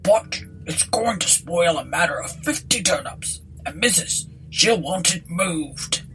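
A woman's voice: lowish voice, lively intonation, a little slow, fairly bright tone, a little hiss or hum, poor audio. A woman voicing a plain-spoken rustic English landlord with homely, puzzled country phrasing in a storybook reading. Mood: puzzled, bothered.

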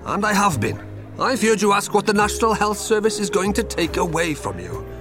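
An actor playing a witty, genial politician who teases and persuades a room of doctors in a radio drama, over background music. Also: male voice, high voice, sing-song, fairly quick. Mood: persuasive. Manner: teasing, persuasive.

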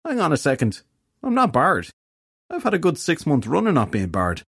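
Guy doing a hungover fairy detective, croaky and whiny, pitched up, super dramatic moaning, audiobook style. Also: wildly swooping pitch, moderate pace, dry recording, studio quality, heavily acted. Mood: indignant. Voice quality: weak, croaky. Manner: moaning, melodramatic complaining.

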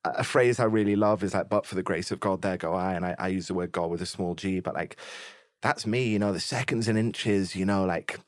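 A man, a musician guest, talking candidly and openly in a laid-back, soft-spoken way. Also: low-pitched, fairly quick, dry recording, studio quality. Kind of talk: candid interview conversation.

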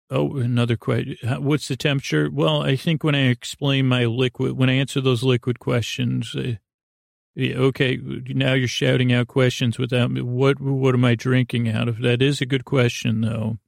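A man hosting a podcast with a soothing, creaky voice, rambling in a halting, silly, whimsical way as if for bedtime. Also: mid-range voice, little pitch movement, dry recording, clean studio sound. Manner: meandering, halting, silly and goofy. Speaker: podcast host. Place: podcast studio.

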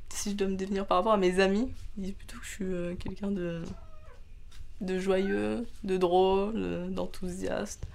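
A woman's voice: medium pitch, flat delivery, normal speed, creaky, a little mellow. A woman in her twenties, an art student giving a casual personal testimony in a hesitant, chuckly way. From born in southern Vietnam, adopted into France. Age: 20s. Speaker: art student being interviewed.

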